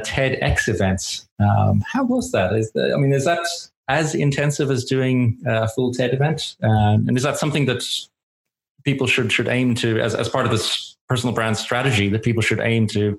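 Man, interview host, hesitant phrasing, medium pitch, echoey room. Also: vocal fry. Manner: halting, many fillers and restarts while framing questions. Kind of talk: podcast interview questions.

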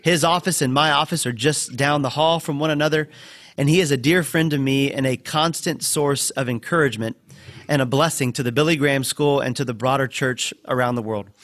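A man hosting a podcast interview, introducing his guest and asking questions in a warm, friendly way. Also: medium pitch, brisk, no room sound. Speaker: podcast host.

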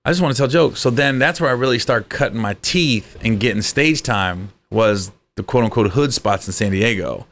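A man in his forties, a stand-up comedian, telling stories from his career in a casual chat, animated and acting out voices. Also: mid-range voice, moderate pace, hardly any pauses.

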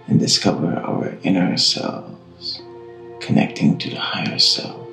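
A man guiding a meditation in a calm, hushed, often breathy delivery, in long unhurried phrases. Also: extremely low pitch. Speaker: guided meditation guide. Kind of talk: slow guided meditation narration. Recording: roomy echo with music behind. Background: singing bowl tones.

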